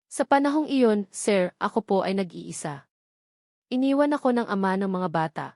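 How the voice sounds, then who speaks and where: A woman's voice: medium pitch, lively intonation, moderate pace, darkish tone, no echo, studio quality, lightly acted. A woman with a Filipino accent voicing an anguished policewoman mother who answers respectfully while holding back tears. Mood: pained, restrained.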